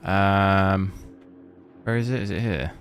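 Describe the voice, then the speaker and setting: A man's voice: sits low, steady tone, takes it slowly. A young man from the South Coast of England with a slightly Cockney southern English accent, chatting casually while streaming a game and answering viewers. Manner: rambling, chatty, answering chat. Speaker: gaming streamer. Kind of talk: casual live commentary while playing.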